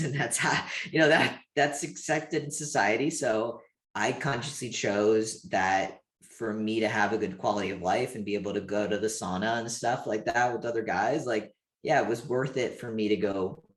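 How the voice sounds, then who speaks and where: A candid man around thirty with a fairly low voice, recorded in an echoey room. He talks informally in a personal interview style, peppering his sentences with "like". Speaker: interview guest, activist. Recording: roomy, echoey sound.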